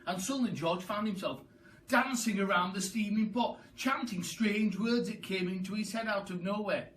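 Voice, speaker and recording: A man reading a children's story aloud as a storyteller, in an expressive, dramatic and emphatic manner. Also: high-pitched, normal speed. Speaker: storyteller, primary school teacher. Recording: far from the mic, faint background noise.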